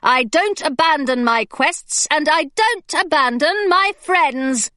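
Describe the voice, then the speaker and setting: A defiant young heroine, as a woman voices her, high and bright with lively, sweeping intonation. She sounds spirited and fearless, and the recording is dry and close. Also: slow pace, dry recording, heavily acted. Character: bold fierce young girl adventurer.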